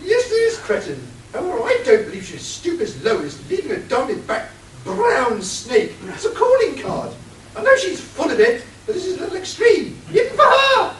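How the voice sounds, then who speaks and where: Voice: very high voice, huge pitch swings, moderate pace, fairly bright tone, exaggerated voice. A performer voicing a haughty, silly titled lady detective in a comic radio play, pompous and scatterbrained. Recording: echoey room, distant mic, faint noise.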